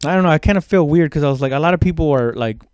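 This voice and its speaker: Male comic podcaster. Smooth, clean voice, dry close sound, informal halting solo ramble with loose swearing. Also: on the high side, a little mellow, dry recording. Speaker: stand-up comedian hosting own podcast. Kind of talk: casual rambling solo chat.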